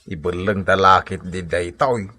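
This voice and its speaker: An actor playing a proud, blustering old Ilocano father-in-law in a comedy radio drama, with a husky voice and an exclamatory, drawling delivery. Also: sits low, steady tone, unhurried, bright, forceful tone, exaggerated voice. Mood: sheepish. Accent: Filipino, Ilocano.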